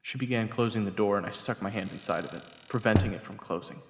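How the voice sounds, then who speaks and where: A man's voice: on the low side, fast talker, gravelly, echoey room, long reverb tail, noisy background. A man narrating a dramatic story in the first person as part of an audio roleplay.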